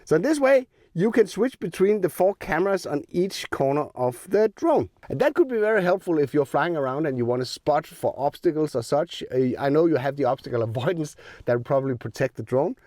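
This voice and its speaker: A man explaining new drone features step by step as he demos them, his voice a little rough because he is sick. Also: on the high side, animated, brisk, few pauses, darkish tone, no echo, clean studio sound. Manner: plain step-by-step explaining with filler ums. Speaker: drone tech reviewer. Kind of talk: hands-on product demo. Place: outdoors in the cold.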